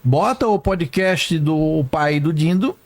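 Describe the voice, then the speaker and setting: A man's voice: fairly high pitch, slow. A man chatting on a podcast as a history creator, with a sing-song regional lilt. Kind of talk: casual podcast chat.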